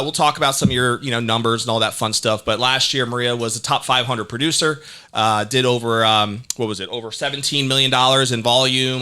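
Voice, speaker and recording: A man's voice: mid-range voice, pushed and bright. A man hosting a podcast interview from a studio, asking friendly questions in a casual way with plenty of fillers. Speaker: podcast host.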